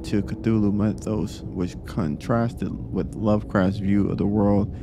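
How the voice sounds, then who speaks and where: Low-voiced man doing a let's play. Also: fairly even intonation, unhurried, noisy background. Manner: informal, slangy reactions. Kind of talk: casual let's play, reading game text aloud and commenting. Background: music.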